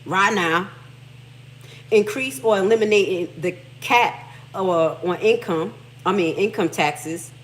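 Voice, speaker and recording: A woman's voice: medium pitch, slow, rough recording. Fired-up Haitian American woman host. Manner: fired-up and emphatic, swearing, reads text aloud then comments. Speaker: livestream host. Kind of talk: casual livestream commentary.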